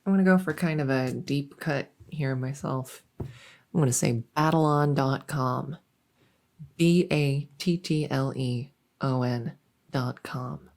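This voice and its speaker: Friendly female monster under the bed, played by a woman, silly ASMR roleplay: mellow, low, soft-spoken, playful rambler. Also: takes it slowly, vocal fry, heavily acted. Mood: chatty, curious.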